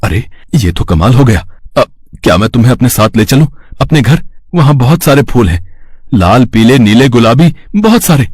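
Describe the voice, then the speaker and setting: A man playing a lazy, greedy village flower seller, theatrical and excitable with a husky voice, in a children's moral story. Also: mid-range voice, normal speed, dry recording, studio quality. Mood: amazed, eager. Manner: theatrical, stammering when startled, exclaiming. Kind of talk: animated moral story dialogue.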